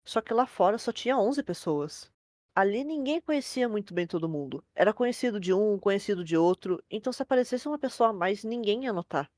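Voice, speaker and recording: Brazilian lady telling a creepy story, talks fast, mid pitch, super dry close sound. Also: expressive, darkish tone, no room sound. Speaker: narrator. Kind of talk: informal horror storytelling narration.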